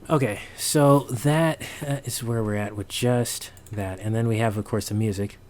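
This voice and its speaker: A man giving a casual, unscripted sound design tutorial, halting and thinking aloud as he works. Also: on the low side, a little mellow, slight background noise. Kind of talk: casual, unscripted software walkthrough.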